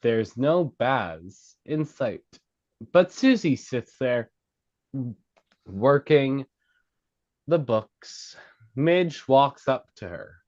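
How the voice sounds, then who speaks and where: A man's voice: mid-range voice, very animated, drawn-out words, pauses often, darkish tone. A man narrating, reading out screenplay stage directions at a table read. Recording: dull, lo-fi sound.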